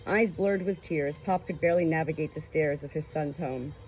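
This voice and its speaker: A woman reading a book and reflecting on it in an expressive, earnest, emotional way. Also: deep voice, normal speed, poor audio. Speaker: audiobook reader. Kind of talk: expressive book narration with personal commentary. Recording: clear background noise, rooster crowing.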